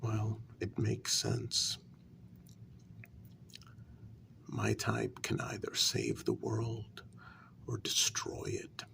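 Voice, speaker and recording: A man playing a wounded, quietly menacing human who confronts a vampire queen in a soft-spoken ASMR role-play, with a breathy, gravelly half-whisper and deliberate pauses. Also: lowish voice, flat delivery, full character voice. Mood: brooding, pointed. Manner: slow, deliberate, pausing between phrases.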